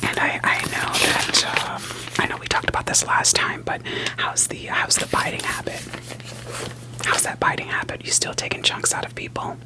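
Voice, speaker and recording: A woman doing a soothing ASMR roleplay as a therapist, whispering gently probing questions in a playful way and repeating short phrases. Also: moderate pace, low-quality recording.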